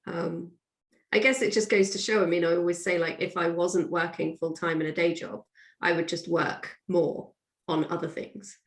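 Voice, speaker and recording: A woman's voice: on the low side, level tone, moderate pace. A woman speaking casually as an author guest on a podcast. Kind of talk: casual chat. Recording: echoey room.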